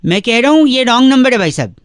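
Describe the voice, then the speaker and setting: A man making radio prank phone calls, teasing and provoking the person on the line with mock-innocent calm. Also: very high-pitched, quick pace, clean tone, no room sound.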